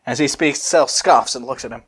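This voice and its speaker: A man's voice: mid-range voice, moderate pace, a bit bright, husky. A man reading fanfiction aloud as a storyteller, dropping in casual asides. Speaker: fanfiction reader.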